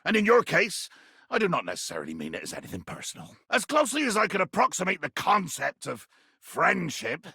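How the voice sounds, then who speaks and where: An actor playing a tiny, fierce, pompous pirate captain in a video game, with a raspy, gravelly voice and grandiloquent, blustering delivery. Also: male voice, high-pitched, wildly swooping pitch, fairly quick, pushed and bright, no room sound, clean studio sound, exaggerated voice. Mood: wry, grudging. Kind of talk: theatrical video game character acting.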